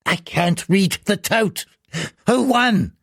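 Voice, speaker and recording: A man's voice: high voice, lively intonation, takes it slowly, a bit bright, husky, no echo, pitched above the performer's own voice, exaggerated voice. Frail old gambler, breathless and pleading, voiced by a male reader, dry close sound. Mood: desperate. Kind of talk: audiobook character voice.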